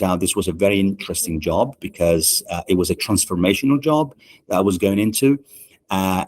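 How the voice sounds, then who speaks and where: A middle-aged man from Italy softened by years in Britain, answering interview questions in a measured way many pauses and fillers. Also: low voice. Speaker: management consultant and guest. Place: podcast studio.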